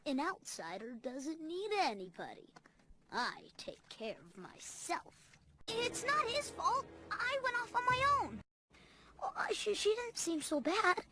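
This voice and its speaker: A woman voicing a cocky, excitable young lion cub in an animated film, brash and expressive. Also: extremely high pitch, measured pace, exaggerated voice. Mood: defiant, wistful.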